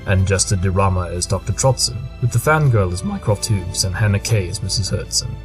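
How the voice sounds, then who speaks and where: Man as a low, dismissive detective pony. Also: expressive, brisk, over music. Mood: formal. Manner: dry, formal, dismissive. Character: aloof, dismissive pony detective reading credits. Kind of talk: in-character credit reading, audio drama.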